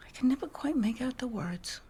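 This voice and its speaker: An actress playing a faded, reclusive older widow, wistful and wry, confiding theatrically in a game monologue with drops into whispers. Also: female voice, high-pitched, expressive, a little fast, dark tone, a little hiss or hum. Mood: haunted, sorrowful. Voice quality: husky, breathy with creaky edges. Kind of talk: dramatic video game monologue.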